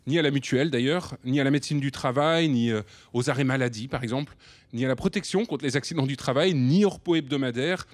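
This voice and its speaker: An actor playing a sheepish, self-justifying delivery rider in a radio drama, with a hesitant, stammering way of talking. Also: male voice, mid-range voice, fast talker, no echo, close to an everyday voice. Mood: aggrieved. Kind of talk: radio drama, naturalistic confession.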